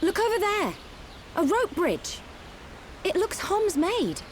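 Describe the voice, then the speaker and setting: A woman's voice: very high-pitched, very animated, slow pace, slight background noise, full character voice. A woman playing a capable, practical young medic in a video game cutscene, with a matter-of-fact manner. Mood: excited.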